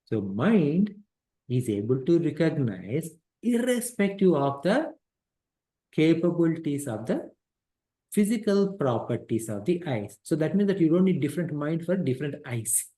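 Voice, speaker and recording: A man's voice: a little high, very animated, normal speed, warm tone. A middle-aged man teaching an interactive class on a scripture text through a headset microphone. He explains step by step, repeats points and quizzes his students.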